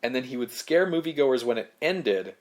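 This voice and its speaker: A man presents film history as a podcast co-host. His pitch sits in the middle range for a male voice. He explains things in measured, short phrases, reading prepared notes and slipping in casual asides. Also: animated.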